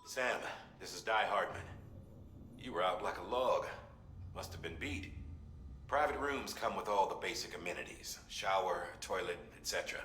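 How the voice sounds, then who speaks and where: A brisk, helpful male official in a video game, briefing someone in an informal, matter-of-fact way. His low voice has a bright, forceful edge. It rings with echo over faint noise. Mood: helpful, casual. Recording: echoing sound with faint background noise.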